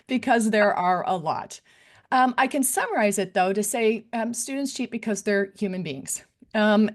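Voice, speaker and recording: A woman presenting to faculty in a conversational style, with frequent fillers and "right?" tag questions. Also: medium pitch. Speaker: academic integrity director giving a faculty talk. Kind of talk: conference presentation.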